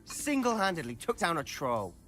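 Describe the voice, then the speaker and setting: A man's voice: on the high side, huge pitch swings, moderate pace, a bit bright, soft background music. A man playing a curious, eager teenage student in a video game. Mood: urgent.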